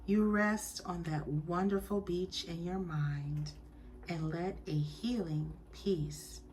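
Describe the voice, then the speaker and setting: A woman telling a story as a guided meditation, soothing and deliberate, with hushed phrase endings. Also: on the low side, fairly even intonation, a little hiss or hum.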